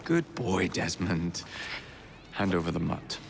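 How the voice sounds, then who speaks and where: Creaky, cocky English rogue. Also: male voice, deep voice, animated, slow, slight background noise, full character voice. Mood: cocky, amused. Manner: dry, sardonic, casual. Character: cocky, wry young Victorian London gangster-assassin. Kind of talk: video game character acting.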